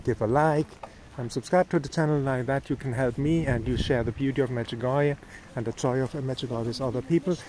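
A man's voice: mid-range voice, steady tone, fairly quick, hardly any pauses, darkish tone, low-quality recording. A man with German as his first language, giving a cheerful walking-tour vlog outdoors on a town street in the evening, filmed on a handheld phone. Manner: chatty, upbeat, points things out to viewers. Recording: street noise from passing cars.

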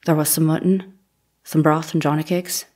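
A deferential young housemaid on the witness stand played by a woman. Her voice is low and fairly flat, with plain, brief answers in an audio courtroom drama. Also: normal speed.

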